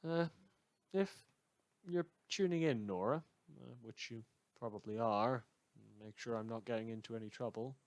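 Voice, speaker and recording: A performer playing a mild, earnest radio host in a fan audio drama, talking to listeners in a hesitant, rambling way with hums and pauses. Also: medium pitch, no echo, lightly acted. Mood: tentative signing off.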